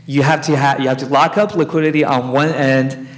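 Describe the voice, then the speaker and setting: A man's voice: mid-range voice, steady tone, fairly quick. A man speaking as a conference panelist, explaining step by step and often checking in with "right?". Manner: explanatory, tags points with "right?". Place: conference hall.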